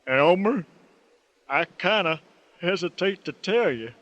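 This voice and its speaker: A man's voice: high voice, expressive, measured pace, fairly bright tone, no echo, dull audio. A man playing a frightened, pleading country fellow held prisoner, in a folksy radio comedy style. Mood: hesitant, glum. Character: frightened, pleading rural male prisoner of aliens. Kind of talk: radio comedy drama acting.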